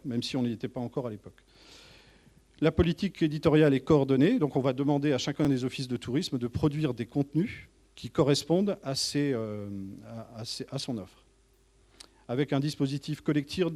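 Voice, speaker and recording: Halting male presenter, mellow voice. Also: mid-range voice. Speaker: tourist office manager presenting. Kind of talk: informal professional presentation to colleagues.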